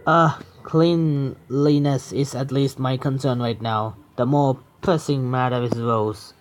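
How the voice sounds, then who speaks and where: A man's voice: mid-range voice, fairly even intonation, slow, slight background noise. A young man streaming a horror visual novel, reading the text aloud with stumbles and breaking off into swearing, joking asides. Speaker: game streamer.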